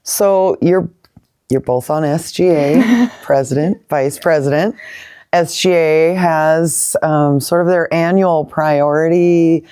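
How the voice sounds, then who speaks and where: A woman with a very deep, mellow voice leads a campus conversation. She is encouraging and tends to repeat words as she sets up each question. The sound is dry and close. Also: slow, smooth, no room sound. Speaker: university president and interviewer. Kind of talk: campus interview.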